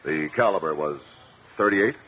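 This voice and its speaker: An actor playing a shrewd, confident courtroom investigator in a 1950s radio drama, speaking formally and precisely. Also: male voice, a bass voice, expressive, moderate pace. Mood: probing, formal. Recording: muffled, noisy old radio recording.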